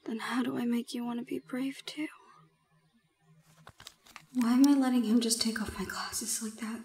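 A woman voicing a shy but stubborn young gorgon in an intimate romantic audio roleplay, sometimes dropping into breathy, whispery asides. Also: on the high side, steady tone, normal speed, a little mellow. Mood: shy, flustered. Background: music.

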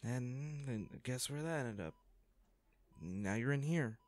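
A man's voice: medium pitch, lively intonation, takes it slowly, dry recording. A man acting a hot-tempered but caring boyfriend in an intimate audio roleplay, with naturalistic, sweary delivery. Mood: remorseful, grieving. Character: hot-tempered boyfriend, stressed then remorseful.

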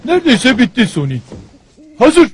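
An actor voicing an earnest, anxious young walrus inventor in a cartoon, easily excited. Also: male voice, very high-pitched, normal speed, exaggerated voice. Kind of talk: cartoon dub acting.

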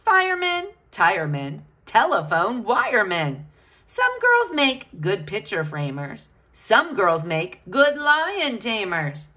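A woman's voice: mid-range voice, wildly swooping pitch, slow, sharp tone. A woman reading a picture book aloud to children, with a sing-song, questioning delivery.

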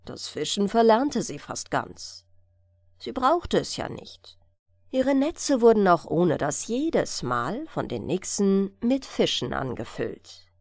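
Slow, lilting woman storyteller. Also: mid-range voice, a little mellow, dry recording, clean studio sound. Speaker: audiobook narrator. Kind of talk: bedtime fairy tale narration.

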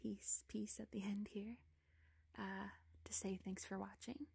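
Slow, soft-spoken whispering woman. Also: mid-range voice, level tone, creaky, slightly rough, no echo. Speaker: ASMR creator in girlfriend roleplay. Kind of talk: ASMR roleplay. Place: indoors among bookshelves.

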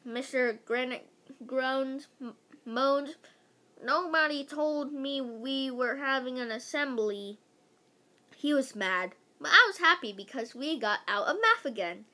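A female voice giving an animated read-aloud of a children's book, stumbling over words now and then and adding casual asides. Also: high voice, measured pace.

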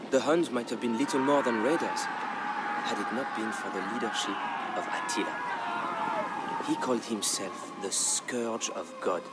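A man narrating a historical tale as a dramatic scripted story, in a measured, grave storyteller's delivery. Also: mid-range voice. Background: background music.

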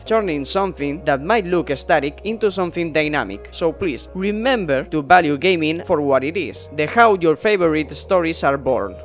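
A young man, his voice sitting slightly high for a man. He talks in a measured, earnest way, pausing now and then on fillers. Soft music plays quietly underneath the voice-over. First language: Spanish. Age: 20s. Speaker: gaming YouTuber. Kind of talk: voice-over video essay and Q&A.

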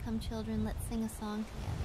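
A woman playing a gentle, devoted young witch princess with a sweet, pleading manner in an audio drama. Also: fairly high pitch, completely flat delivery, fast talker, crowd noise, lightly acted, low-quality recording. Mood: tender. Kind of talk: audio drama roleplay acting.

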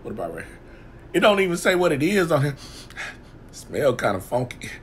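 A man's voice: sing-song, normal speed, slight background noise. A man doing comedic voiceovers for pets, talking in a goofy, slangy, exaggerated way. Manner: goofy, exaggerated, wildly swinging pitch, slangy drawl. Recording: voiceover mixed with music.

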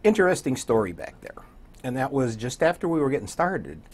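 A man in his sixties with a husky voice talks casually as a studio interview guest. His intonation is lively and animated, and he wanders through his stories at an easy, conversational level. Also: mid-range voice, brisk. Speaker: radio program director, guest. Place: small TV studio, indoors.

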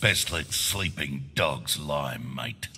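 A man with an Australian accent playing a gruff, taunting mercenary villain in a video game. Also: very deep voice, lively intonation, takes it slowly, bright, forceful tone, slight background noise, heavily acted. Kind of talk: video game fighter banter.

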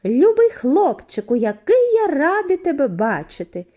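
A woman voicing a giddy, eccentric factory owner in a storybook reading, with a high, clear, flute-like voice and effusive, drawn-out greetings. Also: big pitch swings, warm tone. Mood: gushing. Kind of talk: audiobook character voice.

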